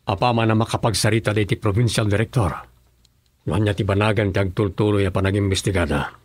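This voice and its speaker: Firm, earnest Ilocano governor for radio serial, male actor: low voice, dry studio sound. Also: steady tone, quick pace, no room sound, lightly acted. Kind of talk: Ilocano radio drama acting.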